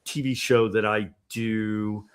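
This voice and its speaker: Male host, low-pitched, loose and halting, lots of ums and restarts. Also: little pitch movement, smooth. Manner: halting, many fillers and restarts. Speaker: TV show host. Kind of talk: casual livestream talk.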